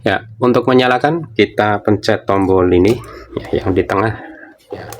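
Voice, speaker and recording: A man's voice: lowish voice, normal speed, a little hiss or hum. A man giving a casual product demonstration, explaining things informally and often repeating himself. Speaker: product reviewer.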